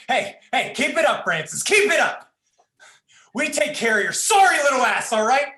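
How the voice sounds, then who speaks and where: A volatile young bully played by a man in a livestreamed horror play reading. His voice is sharp and swings widely as he taunts and threatens, with a roomy echo around it. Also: extremely high pitch, very animated, moderate pace, exaggerated voice. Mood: aggressive mocking. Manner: taunting, menacing, swearing. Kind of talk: dramatized live script reading.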